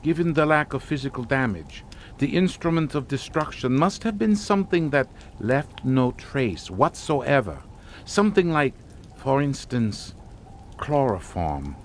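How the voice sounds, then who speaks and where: An actor playing a suave, sinister aristocratic count in a radio suspense drama, speaking in a formal, courtly, deliberate way. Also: male voice, medium pitch, very animated, a little hiss or hum. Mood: ominous, measured.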